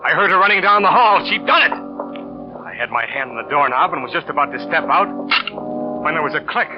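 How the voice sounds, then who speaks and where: A man acting out a vengeful, desperate schemer telling his story in hard-boiled 1940s radio suspense style. His voice sits fairly high for a man, and music runs under the dull old recording. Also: animated, fairly quick, lightly acted. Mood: panicked. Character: scheming, vengeful male lover in a murder plot, bitter and desperate.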